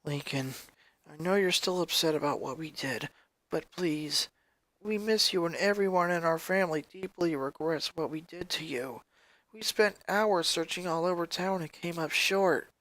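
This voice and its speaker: A man reads as a little emo girl of eight in a fan story. She is timid and weighed down by guilt. Her delivery is subdued and halting, always apologetic, in a dry, close recording. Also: a little high, a bit bright, dry recording, close to an everyday voice. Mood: pleading.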